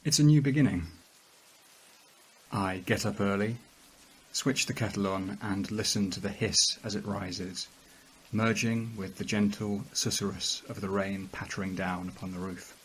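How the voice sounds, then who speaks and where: An actor as a low-voiced, sullen, brooding male narrator. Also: steady tone, slight background noise, lightly acted, low-quality recording. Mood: quiet, reflective. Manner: measured, brooding, literary delivery. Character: weary, socially awkward, sullen male narrator. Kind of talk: audio drama first-person monologue.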